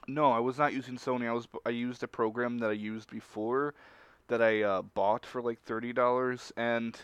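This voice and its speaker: A man's voice: mid-range voice, steady tone, normal speed, a bit bright, clean tone, no room sound. A man chatting casually and slangily on a livestream in a rambling way. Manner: slangy, rambling, chuckles often. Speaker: web video creator.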